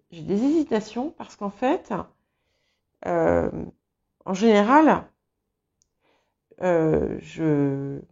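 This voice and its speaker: Slow, mellow-voiced woman tarot reader. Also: fairly low pitch, highs cut off. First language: English. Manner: hesitant, many fillers and restarts. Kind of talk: tarot reading for viewers. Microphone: small clip-on mic.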